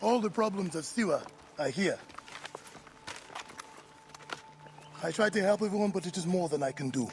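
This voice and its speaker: A hearty, gravelly old friend. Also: male voice, high voice, quick pace. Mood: worried. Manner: hearty, chuckling, expressive. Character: warm jovial male friend and local helper. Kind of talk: video game cutscene. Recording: game cutscene with clear background noise.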